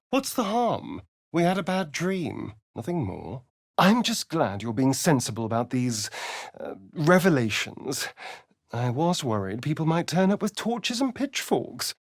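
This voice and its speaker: An actor playing a suave, sardonic vampire rogue in a video game, speaking in an arch, drawling, theatrical manner. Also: male voice, a little high, dry recording, studio quality, heavily acted. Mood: dry, sardonic. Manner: theatrical, arch, drawling, with dramatic swells and hushes. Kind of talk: video game character voice lines.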